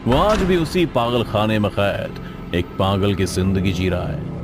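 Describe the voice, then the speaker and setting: A man's voice: lowish voice, big pitch swings, unhurried, noisy background. A man telling a scripted horror story in a dramatic manner. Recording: loud music behind the voice.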